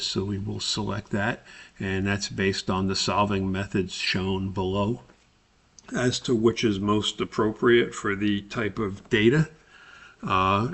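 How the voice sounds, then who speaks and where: Man, instructor, step-by-step tutorial, low voice, heavy vocal fry, deliberate explaining. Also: fairly bright tone. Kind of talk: software tutorial narration, step-by-step lesson.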